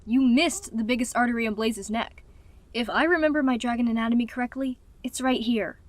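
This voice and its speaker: A woman voicing a sardonic, guarded young dragon with a dry, sarcastic delivery for an animated fan dub. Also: on the high side, brisk, no room sound, lightly acted. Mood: sarcastic, challenging.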